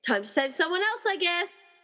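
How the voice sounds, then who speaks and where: A woman's voice: very high-pitched, big pitch swings, moderate pace, sharp tone, big-room sound, heavily acted. A woman playing an anxious but determined hero in a radio play, earnest and wryly muttering. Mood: wry, uneasy. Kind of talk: amateur radio-play acting.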